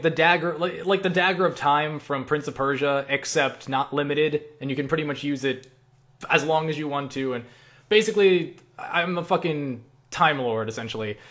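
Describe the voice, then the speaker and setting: A man's voice: on the high side, a bit bright. Rambling, casual young man. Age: young adult. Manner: rambling, self-deprecating, swears casually. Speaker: online video creator answering viewer questions. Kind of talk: casual chatty Q&A vlog.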